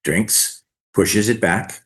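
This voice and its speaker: A man's voice: lowish voice, extremely slow, a bit bright. A man reading stage directions as scripted narration at a staged play reading.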